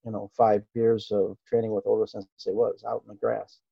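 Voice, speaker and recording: A middle-aged man from Okinawa, whose first language was Japanese, reminisces as an interview guest. He talks in an easygoing, halting way, full of fillers and small laughs. The sound is dry and a little dull. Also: lowish voice, flat delivery, creaky, breathy edge, no echo. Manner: easygoing, hesitant, with frequent fillers and soft laughs. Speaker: interview guest, martial artist. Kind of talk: relaxed reminiscing interview talk.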